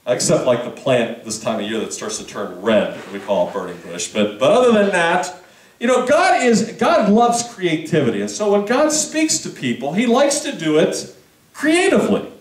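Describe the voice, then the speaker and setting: A man's voice: a little high, very animated, moderate pace, reverberant, recorded from a distance, poor audio. A man preaching a sermon to his congregation in a chatty, conversational way, with asides and questions to the room. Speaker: pastor. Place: church sanctuary.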